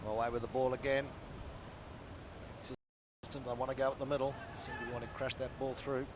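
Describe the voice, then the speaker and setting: A man with a New Zealand accent calls a rugby match live, upbeat and quick with the play-by-play. His voice sits at a middling pitch. Clear outdoor stadium noise and passing traffic run behind him. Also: level tone. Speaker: rugby commentator. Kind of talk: live sports commentary. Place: outdoor stadium commentary position.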